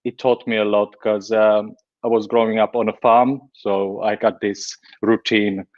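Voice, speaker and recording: A man's voice: fairly low pitch, flat delivery, a little slow, a little mellow. Man in his forties, lo-fi sound. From Slovakia. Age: 40s. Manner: chatty, laughs easily, many fillers and restarts. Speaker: guest. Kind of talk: casual interview talk.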